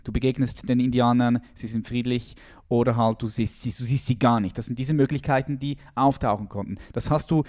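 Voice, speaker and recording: A man's voice: mid-range voice, fairly even intonation, fairly quick, a little mellow. A man hosting an interview, enthusiastic, summing up his guest's story with frequent affirmations and some English phrases. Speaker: podcast host. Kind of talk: interview conversation.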